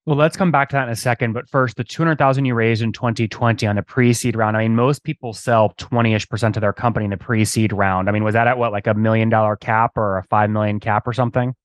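Quick, direct male interviewer. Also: lowish voice, steady tone. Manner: direct, probing questions. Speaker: podcast host.

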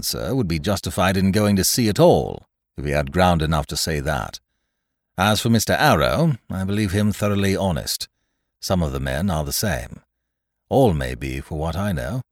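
A blunt, dutiful sea captain from an adventure storybook, played by a male reader. He speaks formally and firmly, with lively swings of pitch in a dry, close recording. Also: no room sound. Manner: formal, firm, plain-spoken.

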